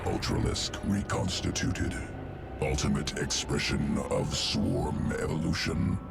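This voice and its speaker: An actor voicing a cold, clinical alien swarm creature in a video game, speaking in clipped, detached phrases. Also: male voice, a bass voice, big pitch swings, takes it slowly, gravelly, over loud music, full character voice. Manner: clipped, article-less, detached speech. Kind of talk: video game cutscene acting.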